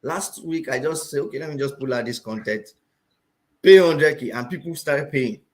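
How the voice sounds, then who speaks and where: A man with a Nigerian accent, a finance teacher talking as a guest in an interview, animated and often repeating phrases for emphasis. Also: medium pitch, moderate pace, a little hiss or hum. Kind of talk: interview talk.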